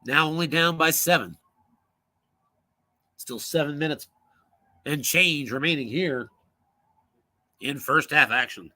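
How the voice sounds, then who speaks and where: A man calls a tabletop football game play by play in a brisk, sharp, forceful voice that sits a touch high. His voice is wearing out, with a strained, hoarse edge. He lifts his energy for the big plays. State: losing his voice, coughing. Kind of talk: solo play-by-play commentary.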